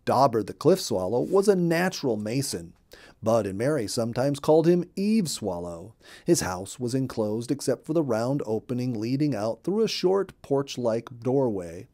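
A middle-aged man narrating a children's story in an expressive storybook manner. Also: mid-range voice. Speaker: storyteller, audiobook reader. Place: indoor room.

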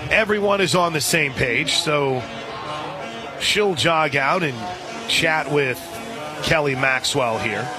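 A man doing live radio play-by-play of softball in a conversational way, as the announcer for the home side. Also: on the high side. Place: outdoor softball stadium broadcast. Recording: crowd and music behind. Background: stadium crowd, music.

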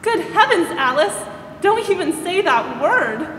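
A woman's voice: very high voice, very animated, a little slow, a bit bright, full character voice. A young woman playing a polite, sympathetic young social worker in a 1940s-style radio drama on stage. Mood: startled. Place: large theatre stage, indoors. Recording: echoey stage hall, faint noise.

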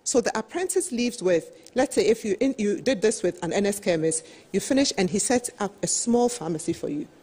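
A middle-aged woman with a slightly husky voice, giving an emphatic, explanatory business presentation as a panel speaker, often saying "you know". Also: medium pitch, animated, a little fast, few pauses, warm tone. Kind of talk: business presentation at a televised roundtable. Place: television studio, seated at a desk.

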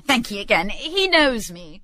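A woman voicing a reformed old miser, a giddy and kindly old gentleman who speaks in effusive exclamations. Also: medium pitch, big pitch swings, a little slow. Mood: eager, gentle.

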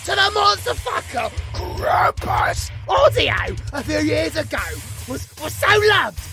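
Shrieking, manic vampire-werewolf maniac, British. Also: male voice, extremely high pitch, sing-song, a little slow, creaky, over music, heavily acted. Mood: excited, rambling. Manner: shouting, rambling, cackling, fourth-wall-breaking trivia patter. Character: manic chaotic male undead vampire-werewolf half-breed, gleefully unhinged. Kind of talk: theatrical villain monologue in an audio drama.

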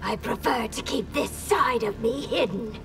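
An actress playing a haughty warrior empress in a video game, regal, deliberate and commanding. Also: female voice, a little high, music in the background. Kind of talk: dramatic video game dialogue.

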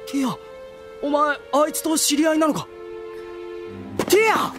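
A man acting a petulant, insecure young nobleman in an anime audio drama, brash and rough-spoken. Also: very high voice, unhurried. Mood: confused, indignant. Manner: brash, rough-spoken, whining when cornered. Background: background music.

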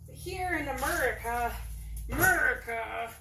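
A woman in her thirties with a Wisconsin accent chats in a slightly high, unhurried voice. She rambles, corrects herself and hunts for words. A phone across her kitchen table picks her up over a faint hum. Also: animated, bright, forceful tone, rough recording. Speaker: vlogger. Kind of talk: casual chat. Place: home kitchen, indoors. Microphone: phone camera across the table.

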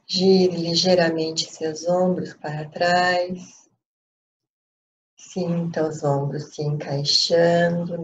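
A woman with a Brazilian accent leading a guided meditation in a calm, unhurried way with pauses. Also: on the low side. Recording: dull lo-fi audio, faint noise.

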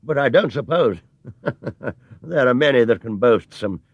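A man voicing a pompous, flustered English gentleman factory owner in a storybook, booming and hesitant like a public speaker. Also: animated, unhurried, no echo, dull audio. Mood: blustering, jovial.

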